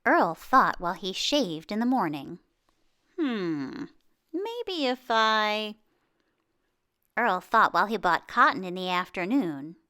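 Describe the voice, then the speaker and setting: A woman reading a children's picture book aloud in an expressive way, with dramatic pauses and exclamations. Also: on the low side. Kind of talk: children's storybook narration.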